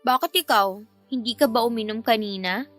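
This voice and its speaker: A woman's voice: fairly high pitch, moderate pace, a bit bright, faint music behind, natural voice. An actress playing a coy, teasing, tipsy young woman in a radio romance drama. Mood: tipsy, sleepy. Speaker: voice actor.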